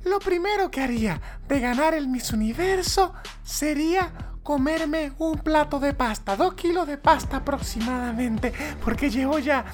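A high, sugary alien beauty queen, as a man puts her on. She talks with a breathy, far-off tone and a sweet, slow pageant lilt, all smiles and candor. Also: soft background music. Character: ditzy alien beauty queen, young woman.

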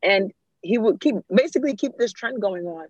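A young woman from Ghana is the guest in a casual talk. She tells her stories with energy and acts out what other people said. Her voice is husky, the recording is dry and a little muffled. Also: medium pitch, normal speed, no room sound. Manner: animated storyteller, quotes people in dialogue. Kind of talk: casual discussion. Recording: muffled, slightly lo-fi.

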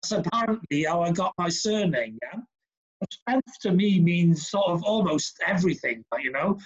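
A man's voice: sits high, lively intonation, moderate pace, breathy edge, dull audio. A man with a Welsh accent hosting a podcast, chatting casually over a video call with lots of fillers and restarts. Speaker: podcast host.

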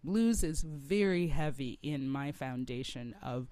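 A woman rock singer speaks slowly as an interview guest. She sounds reflective and feels her way through each thought. Her voice is smooth and clean, recorded close and dry. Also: extremely low pitch, lively intonation, dark tone, no room sound. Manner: reflective, searching for words as she goes. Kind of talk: conversational interview.

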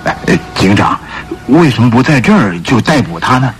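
A man acting an eager, deferential police underling in a Mandarin radio drama, excitable and full of interjections. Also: medium pitch, moderate pace, faint music behind, close to an everyday voice. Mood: puzzled.

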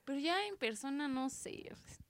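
A woman's voice: sits high, lively intonation, normal speed, creaky. A young adult woman, chatting casually with friends as a co-host. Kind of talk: casual chat among friends.

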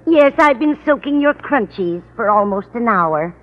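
A woman's voice: a little high, animated, normal speed, lightly acted. An actress playing a kindly, fussy older landlady, sweetly muddled, in an old radio comedy. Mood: motherly. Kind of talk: 1940s-50s radio comedy acting. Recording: muffled, noisy old radio broadcast recording.

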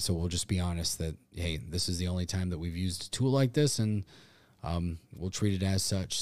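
A man's voice: deep voice, darkish tone, no room sound. A man co-hosting a podcast in casual, bantering chat, with a self-deprecating manner. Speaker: podcast co-host.

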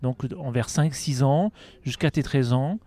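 A man hosting an interview and asking questions gently, with some hesitations. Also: mid-range voice, unhurried, dry recording. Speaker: podcast host.

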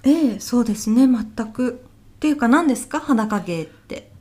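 A woman playing a mellow-voiced, polite elderly widow. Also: on the high side, moderate pace. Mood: puzzled. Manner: soft-spoken, polite, deadpan reactions. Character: elderly widow, polite housewife, increasingly bewildered. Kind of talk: audio comedy sketch acting.

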